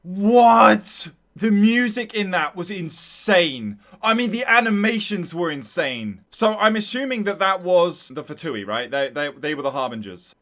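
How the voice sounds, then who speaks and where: A man streaming a casual, excited live reaction to a game trailer, enthusiastic and slangy. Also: high voice, a little slow, hardly any pauses.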